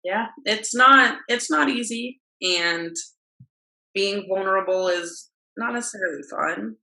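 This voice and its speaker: A woman's voice: mid-range voice, measured pace, gravelly, sharp tone, roomy sound, low-quality recording. A young woman, a dancer and fitness instructor, talking openly and reflectively as an interview guest, with lots of fillers. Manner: open, self-reflective, talks with many fillers. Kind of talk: candid conversational interview.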